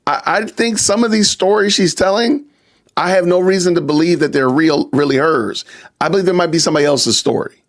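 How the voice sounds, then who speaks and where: A man's voice: on the high side, fairly quick. A man hosting a live stream podcast, talking casually to his chat and repeating rhetorical questions. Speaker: podcast host.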